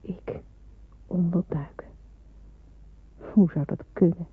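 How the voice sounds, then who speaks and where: A fearful, dutiful young nurse in a radio drama, broods and questions herself. Her voice is lowish and heavily husky with breath. The old recording is thin and phone-like. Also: steady tone, slow, full character voice. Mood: anguished, brooding. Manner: hesitant, brooding, self-questioning. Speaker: actress in a radio play. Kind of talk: Dutch radio play acting, inner monologue.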